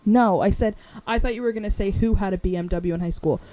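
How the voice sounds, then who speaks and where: A woman in her twenties co-hosting a podcast, chatting in a rambling, animated way, her voice raspy today. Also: mid-range voice, fast talker. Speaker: podcast co-host. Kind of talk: casual chat.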